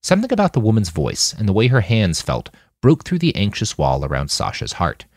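Guy narrating a novel, slightly low voice, really animated intonation, dry recording. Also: a little fast, no echo. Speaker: author narrating his novel. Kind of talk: scripted audiobook narration.

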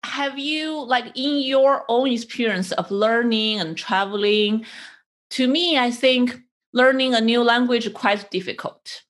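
A woman hosting a podcast, chatty and enthusiastic as she asks questions. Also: on the high side. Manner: enthusiastic, chatty, quick laughs. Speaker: podcast host. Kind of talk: podcast interview.

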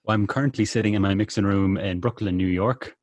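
Irish lad being interviewed, low voice, very dry sound, casual and jokey, takes the piss out of himself. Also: male voice, fast talker, no room sound. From Ireland. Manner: jokey, self-deprecating. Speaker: interview guest, music producer and mixing engineer.

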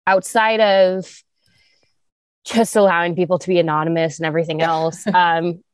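A woman's voice: lowish voice, level tone, no room sound. Earnest woman podcast host, dry sound. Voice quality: some vocal fry. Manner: earnest, emphatic, speeds up and slows down. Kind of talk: candid podcast conversation.

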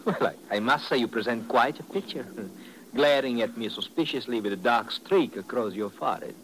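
An actor playing a fussy, nervous, over-polite gentleman in a 1950s radio drama, with a flustered, mannered delivery. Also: mid-range voice, moderate pace, natural voice. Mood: ingratiating, amused. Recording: noisy vintage 1950s radio broadcast.

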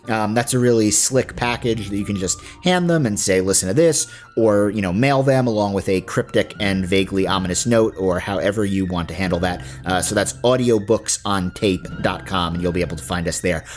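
A male podcast host with a fairly low voice delivers sponsor messages. He is conversational and informal, slipping in asides, over faint background music. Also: fairly quick, hardly any pauses. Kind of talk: casual sponsor read.

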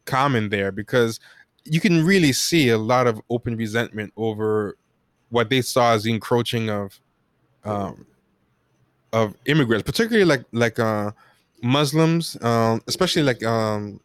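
An American man talking casually and reflectively in an interview, feeling his way through his points in a halting manner. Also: mid-range voice, smooth, no room sound, studio quality. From United States. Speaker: podcast guest.

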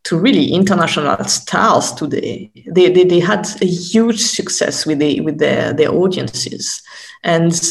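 Hesitant woman academic, gravelly creak. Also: low-pitched, low-quality recording. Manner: halting, repeats words while searching for phrasing. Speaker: academic expert guest. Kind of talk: explanatory interview conversation.

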